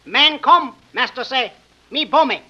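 A man's voice: very high voice, measured pace, sharp tone, full character voice. A man playing a small, timid bushman servant who speaks clipped broken English in an old radio western. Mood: halting, urgent. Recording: dull old radio recording, distant.

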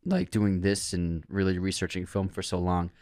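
A man's voice: low voice, level tone, moderate pace, darkish tone, no room sound, studio quality. A man co-hosting a film podcast, chatting casually and enthusiastically with some rambling. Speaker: podcast co-host. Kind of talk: casual chat.

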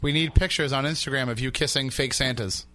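A man's voice: medium pitch, brisk. A man hosting a radio talk show, chatting casually with callers and stumbling over restarts. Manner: relaxed, friendly, stammers on restarts.

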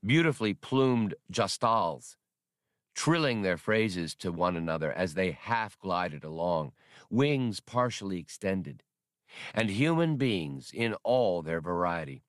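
A man's voice: low voice, very animated, slow, no room sound, studio quality. A man reading a scripted work of fiction as an audiobook narrator.

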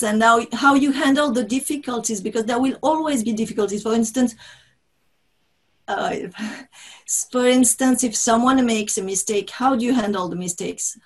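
A woman whose first language is French, speaking English as an author guest in an interview over a video call, halting and restarting often. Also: fairly high pitch. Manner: halting, restarts often, laughs between thoughts. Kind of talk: conversational interview.

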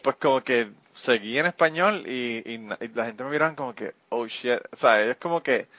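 A man's voice: mid-range voice, moderate pace, a bit bright, dry recording. A man hosting a casual conversation, friendly and given to laughter and rambling asides. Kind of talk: casual interview chat. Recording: muffled lo-fi dry audio.

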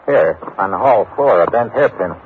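A man plays a steady, tough American adventurer from vintage radio. His clipped, plainspoken voice sits rather low but moves with lively inflection. The old recording is very noisy. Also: normal speed, narrow, tinny audio, lightly acted. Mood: calm, watchful. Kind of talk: 1950s radio drama acting.

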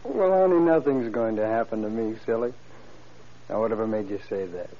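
A man's voice: on the low side, brisk, dark tone, lightly acted. An actor playing a devoted, wisecracking young American man in a classic radio drama, with a folksy, exclamatory delivery. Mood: playful. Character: devoted, wisecracking young husband or sweetheart, easily flustered. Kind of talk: 1940s-style radio drama acting. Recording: muffled, very noisy old radio recording.

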